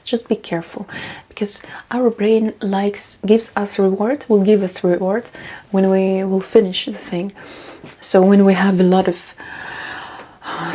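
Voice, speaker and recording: Husky, mellow woman, rambling casual talk. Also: medium pitch. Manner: rambling, repeats phrases for emphasis. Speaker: self-improvement vlogger. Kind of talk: casual talk, giving tips and reading out comments.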